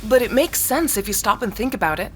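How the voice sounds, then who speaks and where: A woman's voice: fairly high pitch, expressive, fast, noisy background, lightly acted. An actress playing an earnest young woman who explains her suspicions insistently, in naturalistic audio drama dialogue. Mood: eager, explaining. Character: earnest young woman convinced of a tech conspiracy.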